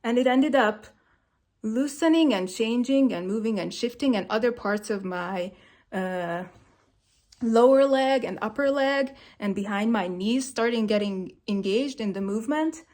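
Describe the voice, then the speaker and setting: A woman's voice: on the high side, measured pace, darkish tone. A woman whose first language is Hungarian, speaking in a reflective, hesitant way with many fillers. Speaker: vlogger sharing movement practice. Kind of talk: reflective personal monologue.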